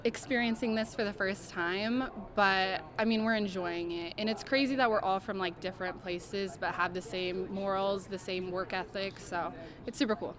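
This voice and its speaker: A young woman speaking to reporters at a middling pitch, cheerful and chatty, leaning on "like" and "I mean". Clear crowd chatter runs behind her. She sounds at ease. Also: fairly even intonation, moderate pace. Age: young adult. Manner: upbeat, chatty, fillers like "I mean" and "like". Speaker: college basketball player. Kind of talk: media interview answers.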